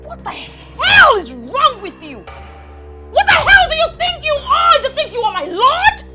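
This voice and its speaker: An actress playing a hot-tempered young royal daughter-in-law, emphatic and confrontational, in a film melodrama. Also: female voice, high voice, big pitch swings, unhurried, fairly bright tone, soft background music, exaggerated voice. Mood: furious. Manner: confrontational, emphatic, repeats herself.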